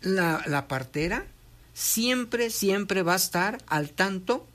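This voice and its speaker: A speaker talks in a patient, teacherly way, reading passages aloud and then explaining them. The voice sits at an ordinary middle pitch. They keep pausing to make sure the listener follows. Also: lively intonation, fairly bright tone. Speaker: guest explaining traditional midwifery. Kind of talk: conversational explanation, reading from a text and commenting.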